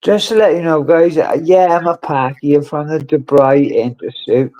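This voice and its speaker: A man's voice: on the high side, fairly even intonation, moderate pace, mellow, lo-fi sound. A man from Wales with a Welsh accent, a guest joking around in cheeky, teasing banter.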